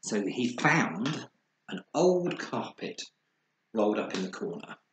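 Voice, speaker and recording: A man reading a children's story aloud expressively, with dramatic pauses and acted sound words. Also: on the low side, big pitch swings, fairly bright tone. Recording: roomy echo, faint background noise.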